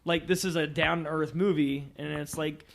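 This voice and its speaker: A man with a slightly high voice talks in a casual, conversational way as a podcast co-host. He sounds like he is discussing a film with friends, loose and informal. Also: steady tone, moderate pace, gravelly, fairly bright tone.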